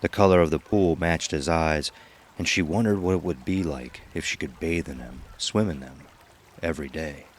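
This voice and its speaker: A man with an extremely deep voice narrates an audio horror story. His delivery is measured and literary, over faint watery background noise.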